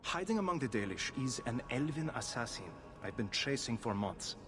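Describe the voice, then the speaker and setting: A man's voice: medium pitch, big pitch swings, moderate pace, over music, close to an everyday voice. A man playing a suave, flowery nobleman in a video game, speaking in a courtly, theatrical manner. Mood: grave, persuasive. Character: suave, flowery Antivan nobleman hunting an assassin. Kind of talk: video game cutscene acting.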